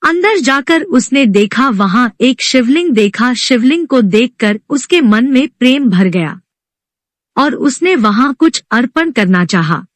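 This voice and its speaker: A woman's voice: fairly high pitch, animated, measured pace, no room sound. A woman narrating a scripted devotional story as a storyteller.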